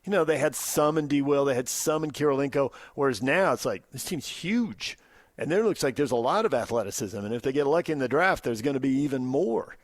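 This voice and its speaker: A male sports talk host with a mid-pitched voice in a dry, close-sounding recording. He works through his analysis out loud, in an unscripted, conversational way. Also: lively intonation, brisk, no room sound.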